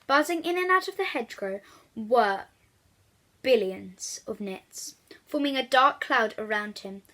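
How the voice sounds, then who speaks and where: A woman reading a storybook aloud for young children, animated and addressing her listeners directly. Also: a little high, a little slow. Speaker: children's book reader.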